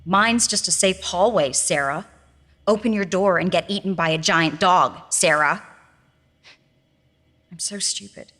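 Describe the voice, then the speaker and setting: A woman's voice: lowish voice, unhurried, pauses often, fairly bright tone. Scared bitter trapped girl, sarcastic, talking to herself, super echoey, audio drama. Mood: bitter, mocking. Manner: emotional, sarcastic, muttering to herself. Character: frightened, bitter captive young woman, self-blaming. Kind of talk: audio diary monologue in fiction podcast drama. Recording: long reverberant hall echo.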